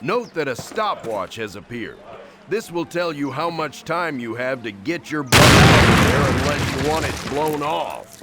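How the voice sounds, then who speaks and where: Animated, blunt army instructor. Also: male voice, medium pitch, measured pace, very noisy. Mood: blunt, instructing. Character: gruff army weapons instructor, blunt and cautionary. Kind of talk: video game military voice acting.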